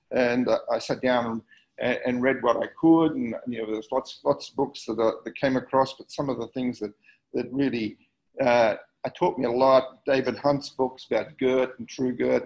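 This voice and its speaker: Brief: middle-aged Australian man, halting slide talk, muffled lo-fi sound, mid pitch. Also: darkish tone. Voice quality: hesitant, many fillers and repeats. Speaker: community history speaker. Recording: dull lo-fi recording.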